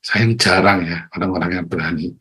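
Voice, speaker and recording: A middle-aged man from Tegal with a Tegal accent, giving a lively motivational talk online, speaking straight to his listeners and acting out the voices he quotes. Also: low-pitched, fairly quick. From Tegal, Central Java, Indonesia. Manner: animated, addresses listeners directly, acts out quoted voices, chuckles often.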